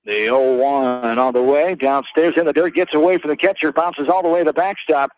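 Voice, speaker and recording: American male radio play-by-play caller for live baseball, slightly high voice, terse phrasing, dry sound. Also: fairly even intonation, a little fast, no room sound, poor audio.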